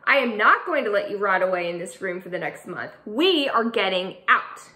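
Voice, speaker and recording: A woman's voice: mid-range voice, sing-song, normal speed, sharp tone. A woman voicing a bossy, teasing preteen girl who is the narrator's best friend. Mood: pushy. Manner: teasing, pushy, dramatic. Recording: echoey room.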